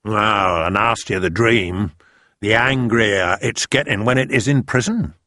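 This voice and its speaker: A man voicing a big, kindly, excitable male giant who mangles his words. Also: on the low side, measured pace, no room sound, clean studio sound. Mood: chatty. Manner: jumbled words, broad rustic lilt.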